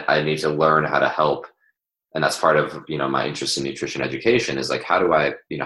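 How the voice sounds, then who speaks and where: Really deep guy, talks nutrition, lots of ums, kinda echoey room. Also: fairly bright tone. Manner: hesitant, many fillers and restarts, explanatory. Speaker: nutrition educator, athlete. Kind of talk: casual podcast conversation.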